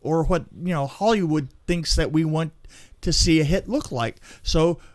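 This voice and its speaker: A man in casual chat, telling anecdotes in a rambling, animated way. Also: on the high side, normal speed, dry recording, clean studio sound. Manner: rambling storyteller, acts out sound effects.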